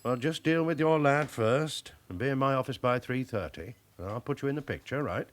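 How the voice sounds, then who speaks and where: A man's voice: mid-range voice, fairly quick, natural voice. A man acting in a radio drama as a commanding senior police officer with a British accent and a clipped, authoritative manner. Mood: businesslike, commanding. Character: brusque, commanding male senior police boss.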